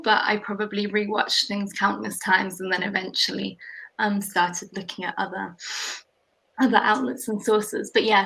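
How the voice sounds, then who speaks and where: Young woman from Portugal, interview guest on a video call; creaky, halting, reflective delivery. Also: mid-range voice, sharp tone, poor audio. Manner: hesitant, thoughtful, many fillers and restarts. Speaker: guest curator. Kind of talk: casual interview conversation.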